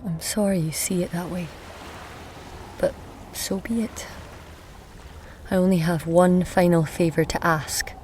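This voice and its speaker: An actress playing a cunning, frail-seeming woman in an audio drama, formal and deliberate, often dropping into breathy whispers over a noisy ambient soundscape. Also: on the low side, fairly even intonation, a little mellow, lightly acted. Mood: grave, resigned.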